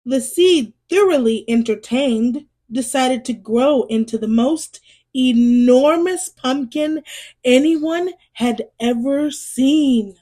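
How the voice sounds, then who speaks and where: A woman reading a children's storybook aloud in an expressive way, as a storyteller speaking to young listeners. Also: a little high. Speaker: storyteller and read-aloud host.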